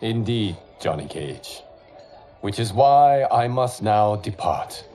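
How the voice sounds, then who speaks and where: An actor playing a wise, serene monk guardian who speaks in a formal, measured way. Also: male voice, fairly low pitch, very animated, warm tone, soft background music. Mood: solemn. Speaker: leader of the champions.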